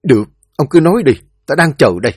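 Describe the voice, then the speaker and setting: A man's voice: mid-range voice, sing-song, a little fast, a little mellow, breathy edge, dry recording, clean studio sound. A man voicing a stern older official who barks orders impatiently in a storybook reading. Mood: commanding. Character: stern older male mandarin official, commanding.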